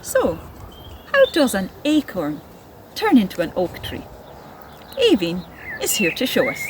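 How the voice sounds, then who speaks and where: Slow, expressive woman reading for children. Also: mid-range voice, noisy background. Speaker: presenter and poem reader. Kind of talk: expressive educational narration and poetry reading for children.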